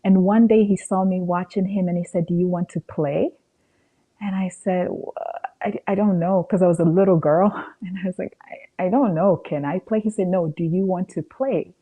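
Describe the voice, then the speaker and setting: A woman's voice: lowish voice, steady tone, normal speed, mellow. A woman from Cameroon, a podcast guest, telling a personal story in a conversational way and acting out dialogue as she goes.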